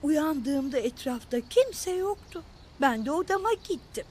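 A woman voicing a kindly, forgetful elderly grandmother in a children's cartoon, gentle and musing. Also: sits high, expressive, unhurried. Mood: musing, recounting. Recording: loud music behind.

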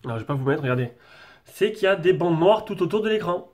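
A French man with a cold talks through a stuffed nose as he reviews a phone off camera, his voice pitched a little high. He chats loosely and often stalls on fillers and restarts. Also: expressive, fast talker, darkish tone. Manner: chatty, hesitant, many fillers and restarts. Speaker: tech reviewer. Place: desk setup with a branded backdrop, indoors.

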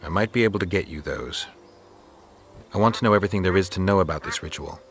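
A stoic witcher in a fantasy game. His voice is very low and his lines are blunt, terse and deadpan. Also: fast talker, gravelly, slight background noise. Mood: dry, probing. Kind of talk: dramatic video game acting.

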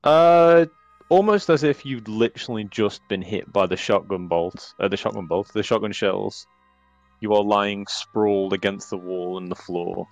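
Low-voiced man narrating a horror game. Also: normal speed, creaky, dull audio, soft background music. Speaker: game master.

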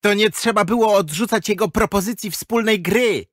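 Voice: medium pitch, normal speed, fairly bright tone, no room sound, clean studio sound, natural voice. A performer playing a cheeky, optimistic schoolboy in a children's audio drama. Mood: teasing.

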